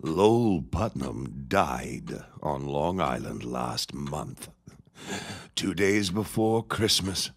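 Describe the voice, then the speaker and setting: An actor playing a menacing, scheming old reverend in an audio drama, husky and pompous in his deliberate delivery. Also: very deep voice, sing-song, heavily acted. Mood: sinister scheming. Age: older. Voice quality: husky, raspy.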